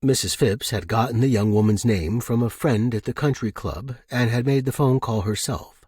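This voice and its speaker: A man's voice: mid-range voice, moderate pace, darkish tone, breathy edge, no echo, studio quality. A man reading scripted fiction as an audiobook narrator.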